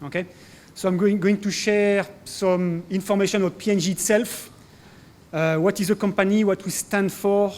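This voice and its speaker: A man's voice: high voice, fairly even intonation, normal speed, a little mellow. French-accented man giving a business talk. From France. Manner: hesitant, many fillers and restarts. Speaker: corporate speaker. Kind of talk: conference presentation. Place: conference hall.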